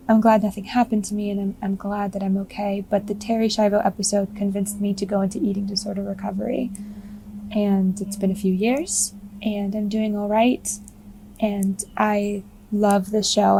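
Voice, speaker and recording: A woman's voice: medium pitch, mellow, a little hiss or hum, low-quality recording. A woman giving a listener message in an earnest, plainly spoken way.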